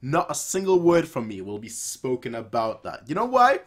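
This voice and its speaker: A man's voice: mid-range voice, wildly swooping pitch, moderate pace, slightly rough. A young man giving casual, off-the-cuff reaction commentary as a host, repeating phrases for emphasis. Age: young adult. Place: small room indoors.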